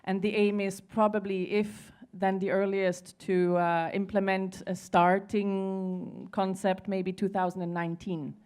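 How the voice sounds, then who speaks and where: A woman's voice: mid-range voice, little pitch movement, measured pace, few pauses, darkish tone, clean tone. A woman from Switzerland speaking as a panelist in a conversational discussion, often hesitating and repeating words as she searches for them. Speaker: panelist at a conference.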